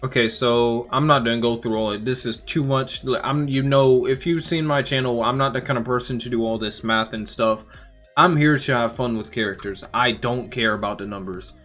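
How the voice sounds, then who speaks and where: A man giving casual game reaction commentary as a YouTuber, talking informally and rambling as he thinks out loud. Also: mid-range voice, moderate pace. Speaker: gaming YouTuber. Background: music.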